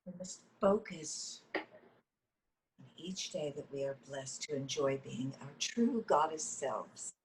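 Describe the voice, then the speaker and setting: A woman's voice: deep voice, animated, takes it slowly, poor audio. A woman acting an earnest, easily exasperated leader of a women's goddess meeting in a comedy script read over a video call. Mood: earnest, preachy. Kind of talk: live comedy screenplay table read. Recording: online call with faint noise.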